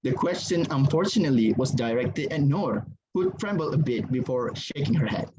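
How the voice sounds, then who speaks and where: A man reads a story aloud as a dramatic narrator. His voice sits at a medium pitch, and the recording is dull, with some background noise. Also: lively intonation, moderate pace, a little mellow.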